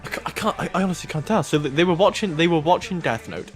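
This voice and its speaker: Man, fairly high voice, very lively intonation, casual banter, sweary, reactive, music behind. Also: fast. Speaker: host of a comedy fan fiction video. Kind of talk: casual banter with mock announcements.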